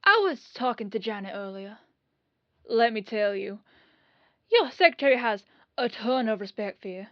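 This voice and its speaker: Woman doing a breezy detective lieutenant, kinda high voice, casual folksy talk, audio roleplay, slightly muffled recording. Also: lively intonation, moderate pace, pauses often, no echo, lightly acted. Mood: casual, explaining.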